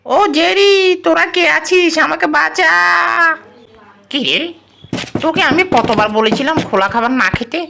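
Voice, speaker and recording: A performer with a woman-like voice plays an excitable, boastful cartoon cat who talks to itself in dramatic exclamations. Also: sits high, normal speed, vocal fry, slight background noise, full character voice. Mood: bewildered. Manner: exclamatory, dramatic, talking to self. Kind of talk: cartoon dub, comic monologue.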